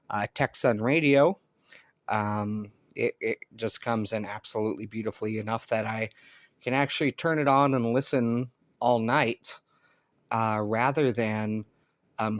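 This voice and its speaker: A man's voice: medium pitch, no room sound, clean studio sound. A man talking casually and unscripted to viewers as a hobbyist vlogger, in a hesitant, rambling way.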